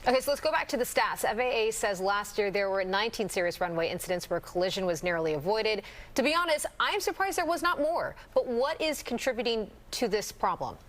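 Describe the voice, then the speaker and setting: A woman's voice: medium pitch, lively intonation, fast talker. A woman with an American accent who interviews guests as a television news anchor.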